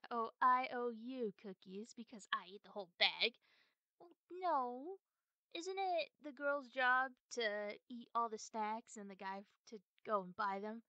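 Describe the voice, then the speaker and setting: Woman acting a bright, cutesy, greedy girlfriend. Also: on the high side, unhurried, no echo, dull audio. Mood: coy bargaining. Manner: coy, stammering, cutesy. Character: cute playful cookie-greedy girlfriend, childishly possessive. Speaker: ASMR audio roleplayer. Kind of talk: ASMR girlfriend roleplay.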